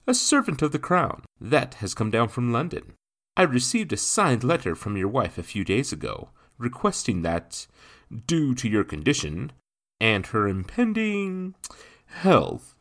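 A man voicing a courteous, self-important gentleman in an audiobook, speaking formally and emphatically with showy magnanimity. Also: medium pitch, pitched above the performer's own voice, heavily acted. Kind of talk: dramatised audiobook character voice.

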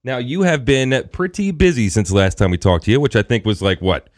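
Fast-talking man interviewing on radio. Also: mid-range voice, no echo, studio quality. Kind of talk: casual chatty interview.

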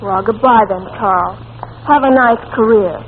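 A woman's voice: a little high, a little slow, lightly acted. A woman playing a saucy, headstrong young princess in an old radio comedy, arch and teasing. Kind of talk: 1940s radio comedy acting. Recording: tinny old-time radio recording, faint noise.

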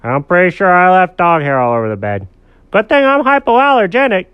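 A man's voice: sits high, sing-song, fairly quick, smooth, no room sound, lo-fi sound, voice pushed up. A man voicing a brash, chummy, bossy pet dog in a children's story, talking emphatically. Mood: confident.

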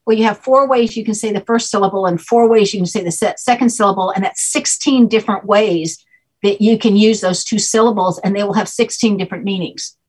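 A woman's voice: medium pitch, a little fast, husky, poor audio. A woman guest who explains at length and keeps checking that the listener follows along. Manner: patient, checks understanding with repeated "okay?". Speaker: guest teaching a name system. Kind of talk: explanatory conversation.

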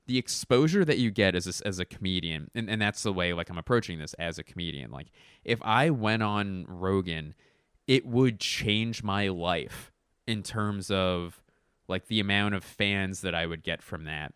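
A man who does stand-up, rambling casually to camera at home with a hoarse voice, clearing his throat often and swearing freely. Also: sits low, normal speed, fairly bright tone, no room sound, clean studio sound. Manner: rambling, self-correcting, profane. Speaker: stand-up comedian. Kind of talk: casual talking-to-camera commentary.

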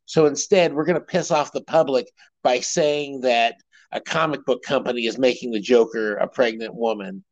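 A man's voice: medium pitch, normal speed, dry recording, highs cut off. A man co-hosting a podcast in casual chat, rambling with many fillers and laughing often. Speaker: podcast co-host.

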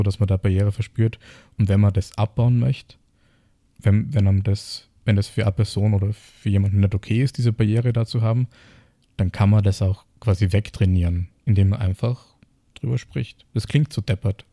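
Bright-voiced, low-pitched Austrian man. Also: no room sound. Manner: reflective, speaks in piecemeal phrases with rhetorical questions. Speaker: podcast co-host. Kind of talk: casual explanatory podcast talk.

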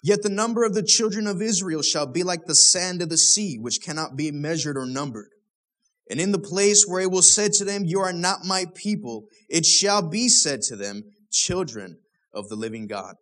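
Young man, pastor, sermon, fairly high voice, casual talk, rhetorical questions. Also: expressive, normal speed, a bit bright. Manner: casual, conversational preaching with fillers and rhetorical questions.

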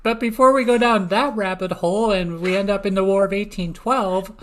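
A woman's voice: medium pitch, steady tone, a little fast, a little hiss or hum. A woman with an American accent from Minnesota, co-hosting a podcast in casual interview chat. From United States, Minnesota. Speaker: podcast co-host.

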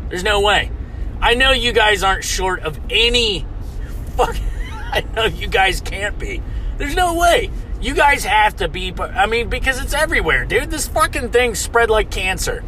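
An American man from the United States delivering a loud, mock-indignant comedic rant, swearing constantly. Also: high voice, sing-song, normal speed, slight background noise, rough recording. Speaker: comedic ranter.

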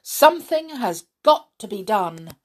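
A calm, kindly male tree-dweller who speaks in a gently firm, unhurried way, as a woman reading aloud puts him on. The storybook voice stays close to an ordinary woman's pitch.

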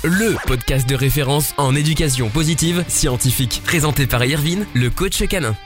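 A man with a fairly high voice delivers a scripted podcast intro. Guitar music plays clearly beneath him. He speaks as an announcer presenting a show. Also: moderate pace. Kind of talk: scripted promotional jingle voice-over.

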